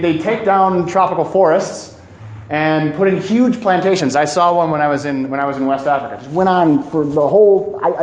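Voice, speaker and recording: A man presenting an informal educational talk, speaking emphatically and haltingly, often repeating key phrases for weight. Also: a little high. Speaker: presenter giving a climate talk. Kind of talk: informal educational lecture to a live audience. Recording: echoey room.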